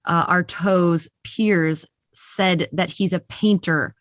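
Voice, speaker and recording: A woman's voice: fairly low pitch, fairly even intonation, slow pace, no room sound, clean studio sound. A woman speaking as an actor guest in a casual podcast discussion.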